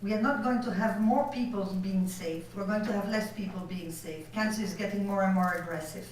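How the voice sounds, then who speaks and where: A woman from Belgium with a French accent, whose first language is French, giving a conference lecture over slides and pausing now and then to search for words. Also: medium pitch, slight background noise. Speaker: conference speaker. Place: conference hall. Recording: echoey hall from far off.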